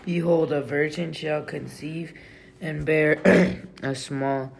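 Casting: man for a family scripture reading, unhurried delivery, medium pitch, slight noise behind. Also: fairly even intonation, gravelly. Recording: faint background noise.

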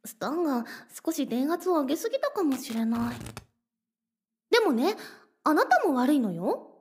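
Casting: obsessive lovestruck young woman, extremely high pitch, breathy, sweetly menacing, anime audio drama. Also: sing-song, normal speed, a little mellow. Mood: calm menace. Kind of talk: Japanese anime-style voice drama acting.

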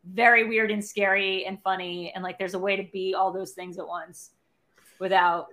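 A young adult woman co-hosts a loose, casual film chat. She rambles along with a lot of "like", and her voice is bright and forward. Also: medium pitch, little pitch movement, normal speed. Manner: rambling, heavy use of "like". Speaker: podcast and stream co-host.

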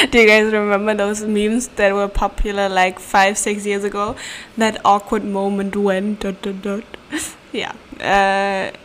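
A woman's voice: mid-range voice, flat delivery, slow pace, fairly bright tone, slight background noise, poor audio. A young woman with a German accent hosting a casual solo podcast, chatty and playful, giggling through her asides. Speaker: podcast host. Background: faint room ambience, cat nearby.

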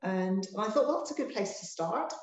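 A woman from Ireland, a health service director talking as a guest in an interview, measured and often restarting her phrases. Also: mid-range voice, animated, darkish tone, reverberant, slight background noise. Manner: measured, with frequent restarts and fillers. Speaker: NHS trust managing director, guest. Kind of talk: interview conversation.

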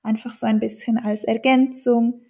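A woman's voice: on the high side, little pitch movement, normal speed, gravelly, mellow. A woman from German-speaking Switzerland with a Swiss accent, a dialect teacher answering interview questions thoughtfully, with many fillers and restarts.

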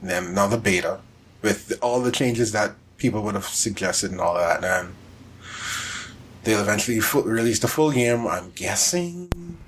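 A man's voice: lowish voice, lively intonation, moderate pace, a bit bright, slight background noise. A man co-hosting a podcast, talking casually about video games in a conversational way. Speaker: podcast co-host. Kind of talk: casual video game discussion.

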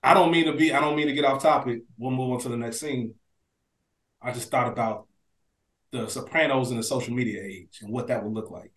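A man's voice: medium pitch, a little fast, a bit bright. A man co-hosting a podcast, explaining his points in casual, analytical chat. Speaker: podcast co-host. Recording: echoey room.

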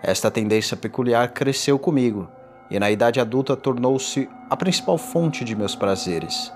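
A man playing a tormented condemned man in a gothic horror audio drama, confessing in a formal, theatrical and deliberate delivery. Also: medium pitch, darkish tone, natural voice. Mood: wistful, grave. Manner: theatrical, deliberate, ornate diction. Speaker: narrator. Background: music.

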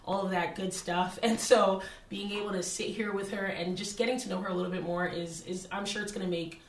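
A young woman hosting a podcast, introducing her guest with lively enthusiasm. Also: medium pitch, fairly quick, slight background noise. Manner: upbeat, enthusiastic. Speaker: podcast host. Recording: echoey room with faint music.

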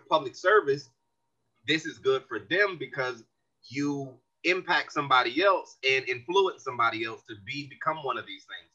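A man, a community organization leader, explaining his views as a guest in a podcast interview, animated and earnest. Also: medium pitch, highs cut off.

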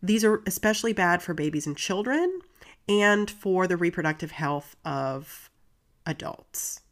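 A woman hosts her own podcast while getting over a cold, her voice congested and set a little low. She talks chatty and earnest, walking through facts with small asides. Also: animated, a little slow. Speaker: podcast host. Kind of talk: solo podcast monologue, reading facts.

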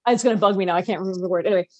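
Woman, medium pitch, expressive read-aloud narration, conversational podcast host, very dry room. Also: fast talker, dry recording, dull audio.

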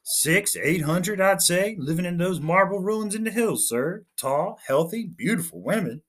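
A man reading aloud voices an eager young army lieutenant who reports briskly and keeps saying sir. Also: sits high, lively intonation, fairly bright tone, voice pushed up, exaggerated voice.

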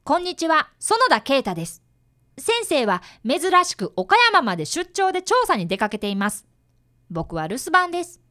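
A woman's voice: high voice, sing-song, normal speed, sharp tone, heavily acted. A woman voicing an earnest, polite fifth-grade boy apprentice detective who narrates a radio drama. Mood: cheerful, announcing. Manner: polite, youthful, explanatory. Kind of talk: radio drama acting with first-person narration.

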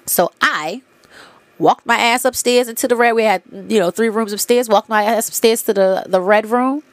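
A woman's voice: a little high, moderate pace, no room sound. Congested woman, candid and profane. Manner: candid, profane, loose retelling with many fillers. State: congested nose. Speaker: podcast host. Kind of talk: casual storytelling monologue.

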